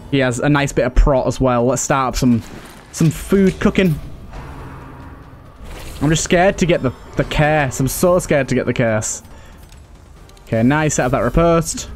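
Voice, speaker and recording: A man giving casual let's play commentary as a gaming host, thinking aloud and often repeating his phrases. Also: mid-range voice. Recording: clear background game ambience. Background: video game ambience and effects.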